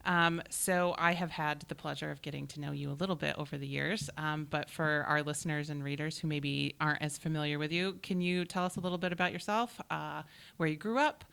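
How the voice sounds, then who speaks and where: A woman hosts an interview with a notably low voice. She asks questions in a friendly, encouraging way and keeps the guest going with short affirmations. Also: fairly even intonation, fairly quick, creaky, a bit bright, clear, resonant tone. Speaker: newspaper editor, interviewer. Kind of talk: interview host.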